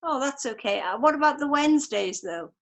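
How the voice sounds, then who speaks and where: Croaky woman on a video call. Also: high-pitched, lively intonation, a little fast. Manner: friendly, brief replies. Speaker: class participant.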